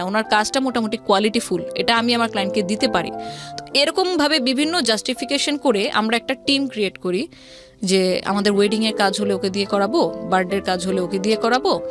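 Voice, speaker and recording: A woman with a Bangladeshi accent telling her personal story conversationally as an interview guest, mixing English words into her speech. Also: fairly high pitch.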